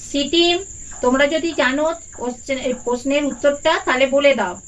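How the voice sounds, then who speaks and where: A performer voicing an encouraging aunt doll who hosts a children's quiz in a puppet story, reading questions deliberately. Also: high voice, highs cut off, lightly acted. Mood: encouraging, brisk. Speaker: quiz host.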